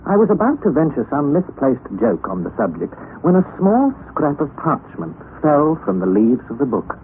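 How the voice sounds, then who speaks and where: An actor with an English accent narrating as an earnest young student nephew in a vintage radio drama. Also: male voice, on the high side, very animated, normal speed. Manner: earnest, wondering storytelling. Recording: tinny lo-fi vintage radio broadcast with hiss.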